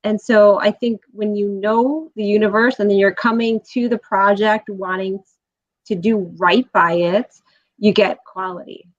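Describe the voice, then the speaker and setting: A woman's voice: medium pitch, fairly even intonation, measured pace, no echo. A woman speaking as a guest expert in a casual film discussion, on slightly dull, lo-fi audio. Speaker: guest expert, college professor.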